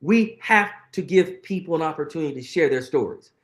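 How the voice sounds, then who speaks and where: A middle-aged man with a somewhat high voice talks earnestly in an interview, pressing his points and repeating phrases for weight. The sound carries a noticeable room echo. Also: animated, fairly quick. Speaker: guest. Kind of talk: conversational interview, reflective storytelling.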